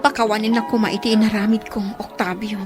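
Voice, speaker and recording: A woman's voice: mid-range voice, flat delivery, fairly quick, loud music behind the voice, natural voice. A woman playing a remorseful former lover. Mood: pleading. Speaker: radio drama actor. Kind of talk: radio drama acting.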